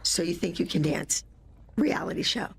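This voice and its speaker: A woman's voice: fairly low pitch, moderate pace, gravelly, slightly rough. A woman in an interview clip, affirming the other speaker and listing her credits.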